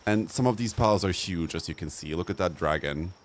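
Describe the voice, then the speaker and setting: A man's voice: low-pitched, a little fast. Campy, playful young man streaming. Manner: campy, playful, laughs often, slangy. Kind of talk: casual live-stream chat over gameplay.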